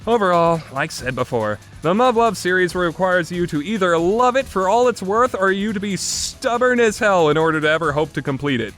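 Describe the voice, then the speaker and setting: A man's voice: sits high, lively intonation, normal speed, few pauses, fairly bright tone, faint music behind. A man giving opinionated review commentary in a blunt, sarcastic, emphatic manner. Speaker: video game reviewer.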